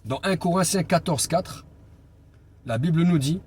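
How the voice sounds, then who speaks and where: Higher-voiced male preacher, noisy recording. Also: measured pace, darkish tone. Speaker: evangelist preacher. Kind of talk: informal Bible teaching sermon. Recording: clear background noise and ambience.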